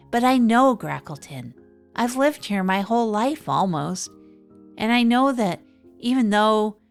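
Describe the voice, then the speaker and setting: A woman playing an earnest, reflective small-town radio host, musing haltingly in an improvised comedy audio drama. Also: mid-range voice.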